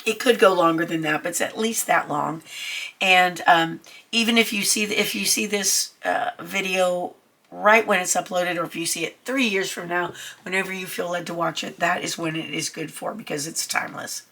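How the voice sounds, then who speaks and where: Woman reading tarot cards, bit of a cold, gravelly low-ish voice but bright, lots of ums. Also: steady tone, moderate pace. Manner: chatty, reassuring, many ums. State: getting over a cold. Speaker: tarot reader. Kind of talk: casual tarot reading to camera.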